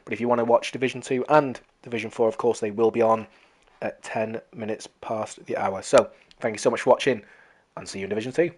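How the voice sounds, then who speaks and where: A race commentator calling an online racing league, hoarse from losing their voice and excitable during close battles. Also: medium pitch, quick pace. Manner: talks to viewers as "guys".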